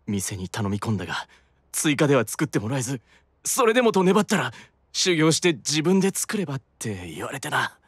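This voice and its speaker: A man's voice: mid-range voice, big pitch swings, brisk, husky, no room sound, studio quality. A man playing a proud, earnest, worn-out demon brother with a stiff, dramatic delivery in a Japanese anime-style audio drama. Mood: weary, earnest.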